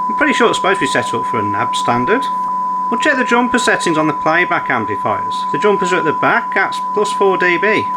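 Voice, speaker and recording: Man with very lively pitch, technical commentary. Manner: muttering step-by-step, thinking aloud. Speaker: repair channel host. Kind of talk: hands-on repair commentary. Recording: clearly noisy, steady hum behind.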